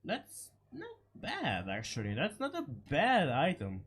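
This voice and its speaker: A man giving casual, unscripted game commentary, halting and repeating short phrases, his voice slightly hoarse from a cold. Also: fairly high pitch, huge pitch swings, a bit bright. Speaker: gaming video creator.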